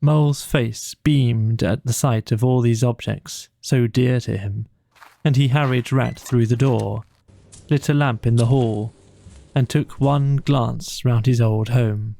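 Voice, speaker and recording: A man reads a bedtime story at an unhurried pace. His voice is mellow and soft-edged with a gravelly creak, captured very dry. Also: medium pitch, steady tone. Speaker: audiobook narrator. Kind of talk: scripted bedtime story narration.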